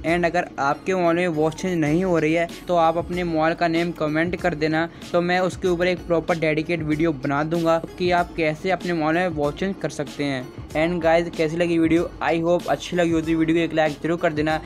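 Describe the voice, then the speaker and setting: A man's voice: a little high, little pitch movement, fairly quick, few pauses. A young man narrating a gaming tutorial in formulaic, repetitive step-by-step instructions, in the manner of a channel host. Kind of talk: scripted tutorial voice-over. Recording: background music under voice-over. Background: music.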